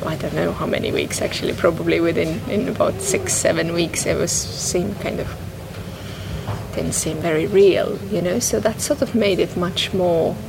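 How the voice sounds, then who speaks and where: A woman's voice: on the low side, expressive, moderate pace, creaky. Thoughtful woman, native Estonian speaker. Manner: thoughtful, hesitant, many restarts and "you know". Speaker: guest. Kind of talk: reflective conversation about a poem. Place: bookshop, indoors. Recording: noisy public bookshop.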